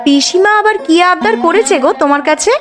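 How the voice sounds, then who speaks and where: A woman voicing a worried, caring young cartoon housewife with a sharp tongue and a questioning manner. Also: very high-pitched, fast, music in the background. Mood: questioning, teasing. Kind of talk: cartoon voice acting.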